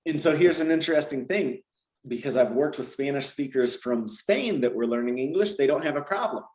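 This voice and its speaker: A man's voice: medium pitch, fairly quick, roomy sound. A man, a language teacher, explaining at length in a conversational interview, often ending points with "right?". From Georgia, US South. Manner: animated, explains with repeated "right?" tags.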